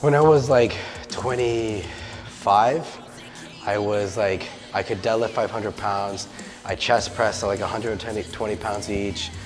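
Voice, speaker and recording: A man's voice: on the low side, slow. 30s guy talking lifting between sets, lots of likes and ums, big echoey gym, music going behind. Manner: rambling, filler-heavy, upbeat. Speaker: podcast host working out. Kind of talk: casual gym chat.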